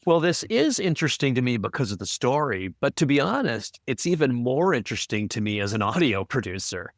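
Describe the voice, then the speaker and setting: Guy cohosting a podcast, really animated, teasing chat, dry studio-ish sound. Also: mid-range voice, brisk, no echo. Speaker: podcast co-host and audio producer. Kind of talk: casual podcast discussion.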